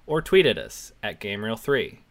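A man's voice: on the low side, normal speed. A man in his twenties co-hosting a casual podcast, joking about himself and laughing often. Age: 20s. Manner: lively, self-deprecating, laughs often. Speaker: podcast co-host.

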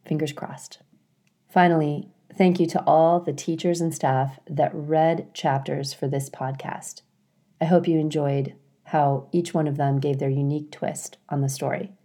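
A woman reading aloud as a storyteller, in an expressive style suited to a children's audiobook. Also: low-pitched, a little slow. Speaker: story reader, school staff.

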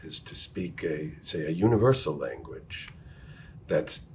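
A man's voice: a bass voice, very animated, unhurried, darkish tone, slight background noise. A man who teaches, hosting an academic conversation and asking reflective, probing questions. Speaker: teacher, discussion host.

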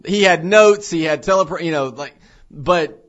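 A man co-hosting a church podcast in casual chat, telling lively stories and acting out quotes. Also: on the high side, moderate pace. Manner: animated storyteller, acts out quotes. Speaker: podcast co-host. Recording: dull lo-fi podcast audio.

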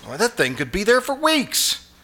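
A man's voice: high voice, wildly swooping pitch, moderate pace, sharp tone, heavily acted. An actor playing a desperate, sleepless, nervous man who hesitates and stammers in old-time radio suspense style. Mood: anxious, puzzled. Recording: live stage performance with music.

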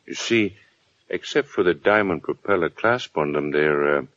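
An actor playing a laconic, shrewd police detective lieutenant in 1940s radio drama style, terse and knowing but decent. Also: male voice, low voice, animated, moderate pace, fairly bright tone, dry recording. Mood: kindly, knowing. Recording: dry, muffled vintage radio recording.

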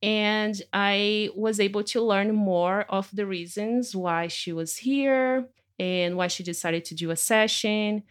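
A woman photographer hosting a podcast interview in her studio, speaking gently and effusively with many fillers. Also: medium pitch, slow. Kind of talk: warm conversational interview.

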